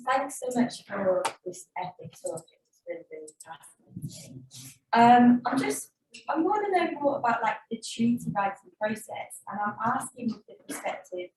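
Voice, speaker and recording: A woman's voice: mid-range voice, distant mic. A young woman in the audience, a student activist, asking a chatty, casual question full of fillers. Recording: echoey hall from afar, faint noise.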